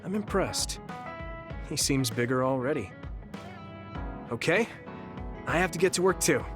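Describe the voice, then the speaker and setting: A man's voice: fairly high pitch, expressive, unhurried. An actor playing a kind, easygoing young man in a video game cutscene, sincere, casual and encouraging. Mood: pleased. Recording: music behind the voice.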